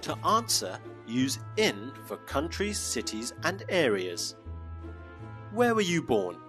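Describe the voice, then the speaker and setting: Very animated male English teacher. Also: mid-range voice, faint music behind. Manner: slow, clearly modeled phrases for repetition. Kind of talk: scripted lesson narration.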